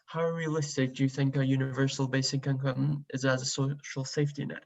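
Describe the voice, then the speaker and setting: Flat-voiced male host reading questions. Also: medium pitch, fairly quick, creaky. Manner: polite, reads questions out steadily. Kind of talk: moderating an online talk.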